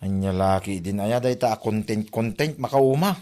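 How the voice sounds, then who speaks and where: A man's voice: fairly low pitch, normal speed, mellow, lightly acted. A man acting an exasperated husband in an Ilocano comedy drama, nagging and complaining. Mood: fed up.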